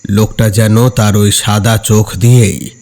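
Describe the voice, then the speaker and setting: A man narrating a scripted horror story in a dramatic, measured, suspenseful way. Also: on the low side, little pitch movement, darkish tone.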